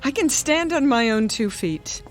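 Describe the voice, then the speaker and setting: An actress playing a vain, imperious older wife in a radio drama, theatrical and indignant. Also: female voice, on the high side, lively intonation, measured pace, soft background music. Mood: indignant, haughty. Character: vain, haughty older wife resisting a hearing aid.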